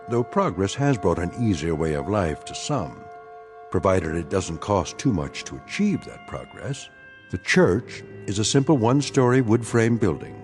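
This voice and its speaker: A man narrating a radio drama as a measured, scene-setting storyteller. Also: lowish voice, a little mellow.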